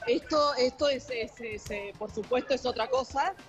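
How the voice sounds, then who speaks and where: A woman's voice: high voice, moderate pace, muffled recording, faint music behind. A woman from Italy, raised elsewhere, speaking as a guest in a live TV news interview, hesitant and full of fillers and restarts.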